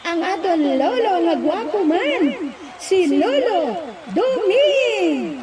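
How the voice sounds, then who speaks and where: A man putting on a high falsetto to play an excited, gushing emcee at a talent contest. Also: slow, a crowd in the background, heavily acted. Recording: distant from the mic.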